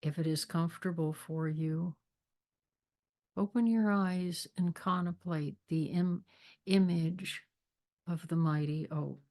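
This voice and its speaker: A woman leading a guided meditation with spiritual readings, speaking in a measured, deliberate way with long pauses. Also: lowish voice, fairly even intonation, warm tone. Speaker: meditation leader, spiritual minister.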